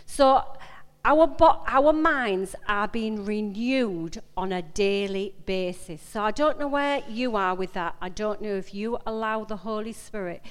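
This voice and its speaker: A woman's voice: mid-range voice, measured pace, smooth. A woman with a Yorkshire accent giving an informal, personal sermon, emphatic and conversational, repeating phrases and putting questions to her listeners. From Yorkshire, England. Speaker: preacher. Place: large indoor meeting room. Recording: echoey hall with long reverb.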